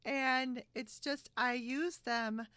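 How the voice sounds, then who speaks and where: A woman's voice: sits high, steady tone, slow, bright, forceful tone, clean tone, no echo. A woman chatting casually in a bubbly, giggly way, recorded at home on a video call. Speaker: podcast host. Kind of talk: casual chat about sewing favorites.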